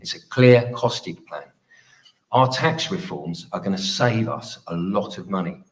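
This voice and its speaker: A man giving an earnest, pleading closing speech as a political candidate at a hustings, with some halting delivery. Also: low voice, lively intonation, poor audio.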